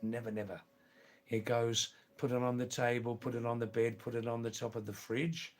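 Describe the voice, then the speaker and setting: A man's voice: mid-range voice, flat delivery, normal speed. An older man with an Anglo-Indian accent chatting casually on a live stream, answering viewers' comments with lots of fillers and restarts. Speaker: livestream host.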